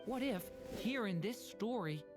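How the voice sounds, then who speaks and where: An actress playing a gentle, vulnerable young dragon boy in a fantasy game, speaking with sincere earnestness. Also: medium pitch, lively intonation, measured pace, lightly acted. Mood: earnest, pleading. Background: game music.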